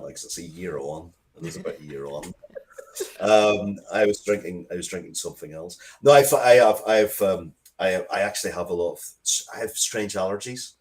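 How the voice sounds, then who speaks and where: A man talking casually as an interview guest, halting and wry, often restarting his sentences. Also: sits low, darkish tone, a little hiss or hum. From Ireland. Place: basement, at home.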